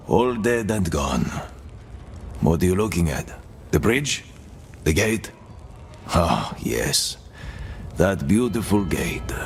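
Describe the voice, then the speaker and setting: A world-weary old prisoner in a video game. He muses and persuades in a low voice, slowly and deliberately, with faint noise in the background. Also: exaggerated voice. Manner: measured, musing, deliberate pauses. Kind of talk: dramatic video game acting.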